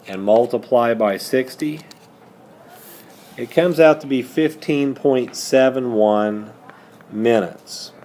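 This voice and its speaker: Slow-talking male math teacher, muted tone. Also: medium pitch, dark tone, a little hiss or hum. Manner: asks questions and answers them himself. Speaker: high school math teacher. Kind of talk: step-by-step math lesson with questions and answers.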